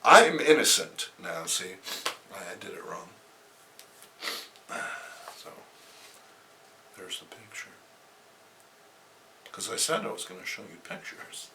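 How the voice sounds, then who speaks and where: A man's voice: deep voice, fairly even intonation, slow pace, creaky, pauses often, bright, forceful tone, far from the mic, slight background noise. A man hosting a dramatic comic book reading, theatrical, with wry, sardonic asides.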